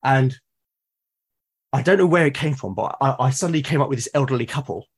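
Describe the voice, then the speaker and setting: A British man talks casually and reflectively at an ordinary male pitch. His voice is a little husky. He works through his points haltingly, rewording as he goes. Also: lively intonation. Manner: halting, thoughtful, self-correcting explanations. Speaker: podcast guest or co-host, tabletop game master. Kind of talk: casual reflective conversation about roleplaying games.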